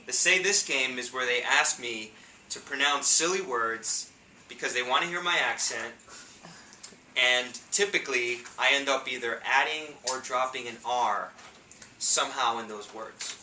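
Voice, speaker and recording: A man in his thirties with a New York City accent, giving a humorous contest speech with punchy timing and pauses for laughs. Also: medium pitch, bright, forceful tone. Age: 30s. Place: meeting room with an audience. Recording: echoey room, distant mic, faint noise.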